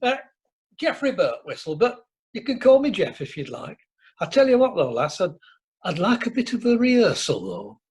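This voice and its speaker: A man's voice: sits high, sing-song, normal speed, darkish tone. A man playing a jovial, rambling show-off who loves monologues, in an amateur radio drama. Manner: jovial, rambling, bashfully boastful.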